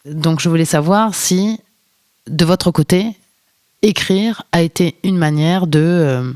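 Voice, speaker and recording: An interviewer lays out long, carefully measured questions in a formal tone. The voice sits in a middle range, with a dry, close sound. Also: mid-range voice, a little mellow, clear, resonant tone, dry recording.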